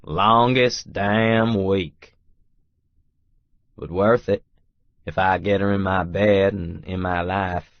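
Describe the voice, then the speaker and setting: A man's voice: low-pitched, fairly even intonation, slow, pauses often, dry recording, muffled recording. A man narrating a first-person romance audiobook in a folksy, colloquial way.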